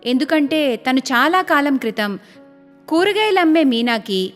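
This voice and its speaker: High-pitched, lively woman storyteller. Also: measured pace. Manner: expressive storytelling. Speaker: narrator. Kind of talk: scripted moral story narration. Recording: long reverb, music underneath.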